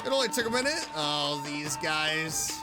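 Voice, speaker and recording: A man playing a video game and chatting with excitable, spontaneous reactions as a vtuber. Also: on the high side, a little slow. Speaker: vtuber gamer. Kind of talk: casual gameplay commentary. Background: game music.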